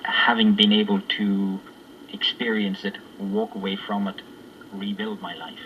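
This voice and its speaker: A man's voice: lowish voice, flat delivery, a little slow. A man giving a reflective personal account, heard through a radio. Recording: dull, faint radio playback with noise.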